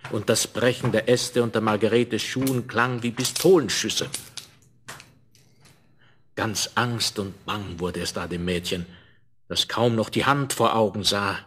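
A crackly seventies vinyl transfer carries a man's fairly low voice. He tells a fairy tale expressively and with measured phrasing, drawing the listener in. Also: fairly even intonation, fairly bright tone. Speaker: narrator. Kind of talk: scripted fairy-tale storytelling.

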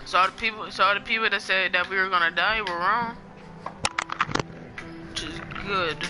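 A man's voice: high-pitched, fairly even intonation, normal speed. A young man giving casual, joking commentary while live-streaming a game, often repeating phrases in a sing-song way. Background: game music.

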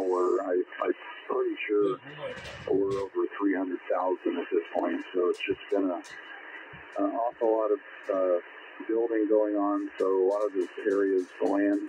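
A middle-aged or older American man, chatting casually as an amateur radio operator. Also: sits low. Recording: dull, staticky shortwave radio transmission. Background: radio static.

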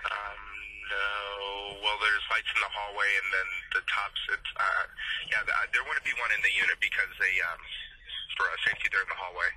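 A male call-center agent handling a customer service call over the telephone, polite and hesitant in a halting way. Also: on the low side, little pitch movement. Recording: noisy telephone line recording.

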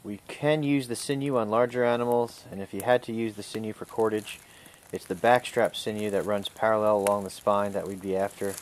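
A man calmly instructing a student step by step during an outdoor survival skills demonstration, recorded on a camera mic. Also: on the low side, a little fast, a little hiss or hum. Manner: calm, instructive, talks through each step. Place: outdoors in a wooded clearing.

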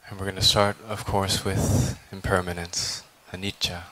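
A man guides a meditation in a low, flat voice. He is calm and contemplative, leaving long pauses between deliberate phrases. Some ambient noise sits behind him. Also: poor audio. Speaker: meditation teacher, Buddhist monk.

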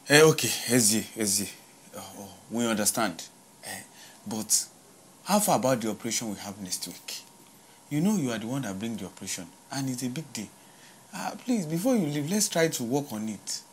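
A man plays a young friend, brisk and insistent. He talks fast at a mid pitch, with faint noise behind. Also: lively intonation, lightly acted. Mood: pressing. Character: young gang friend, businesslike.

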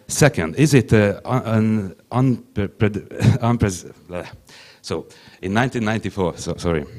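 A young man whose first language is Hungarian, speaking English haltingly on a conference panel into a handheld mic, with many restarts. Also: low-pitched, darkish tone. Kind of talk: panel discussion.

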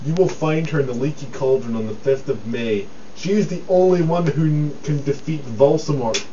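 Man putting on loud, indignant, solemn story characters. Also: a little high, moderate pace, voice pushed up, close to an everyday voice. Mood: solemn, proclaiming. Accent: Scottish. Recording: dull, distant mic with faint noise.

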